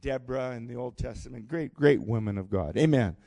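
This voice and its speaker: A man in his sixties preaching a sermon in church, animated and calling for responses from the congregation. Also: medium pitch, a little fast, vocal fry, dry recording, studio quality. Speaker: pastor. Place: church hall.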